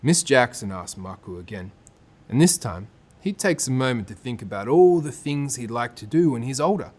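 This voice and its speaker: A man with an Australian accent presenting and reading a children's story, with expressive phrasing aimed at young listeners. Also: medium pitch, normal speed.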